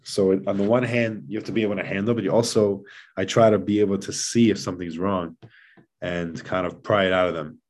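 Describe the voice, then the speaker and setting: A man's voice: sits low, fairly even intonation, brisk, darkish tone. A man speaking as a podcast guest in casual conversation, explaining things in an earnest, emphatic way. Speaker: podcast guest, father of seven.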